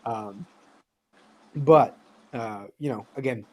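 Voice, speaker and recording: A man's voice: mid-range voice, slow, poor audio. A man hosting a casual comics chat, talking with enthusiasm, swearing now and then and leaning on fillers. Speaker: podcast host.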